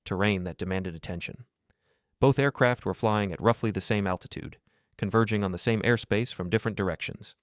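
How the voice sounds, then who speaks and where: A man reading scripted documentary narration in a measured, grave manner. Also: deep voice, no echo, clean studio sound.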